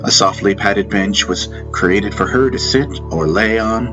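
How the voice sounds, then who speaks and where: A man's voice: lowish voice, a little slow, lo-fi sound. A man reading a first-person fantasy story as scripted audiobook narration. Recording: music bed behind narration. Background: background music.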